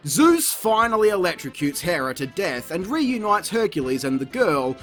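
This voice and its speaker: A man's voice: sits high, fairly bright tone. A man giving a sarcastic, exasperated, sweary comedic review as a voice-over. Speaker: video reviewer.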